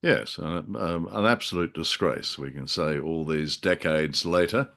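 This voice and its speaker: Droll, low-voiced man in casual chat. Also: measured pace, vocal fry, a bit bright. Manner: droll, hesitant, self-deprecating. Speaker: podcast co-host and writer.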